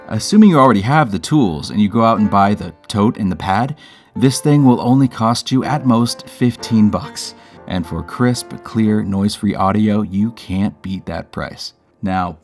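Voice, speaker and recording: A man's voice: on the low side, expressive, normal speed, a little mellow, faint music behind. A man hosting a DIY show, narrating a scripted tutorial in an upbeat, playful way with chatty asides. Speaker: host of a DIY creator show.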